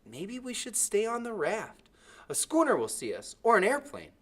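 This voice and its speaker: A man's voice: high voice, wildly swooping pitch, moderate pace, pitched above the performer's own voice, full character voice. A man voicing an anxious, pleading young castaway boy in a storybook, speaking in an earnest, questioning way. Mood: hopeful.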